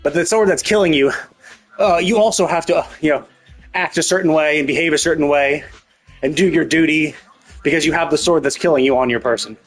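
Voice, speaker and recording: Man, slightly high voice, casual game stream commentary, rambling and thinking aloud, faint music behind. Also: a little fast. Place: indoors, livestream setup.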